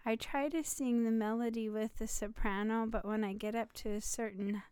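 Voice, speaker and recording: Chatty woman with a slight rasp. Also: a little high, fairly even intonation, moderate pace, darkish tone, no echo. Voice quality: slightly raspy. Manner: chatty, laughs often, rambling restarts. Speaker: podcast co-host. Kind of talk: casual chat.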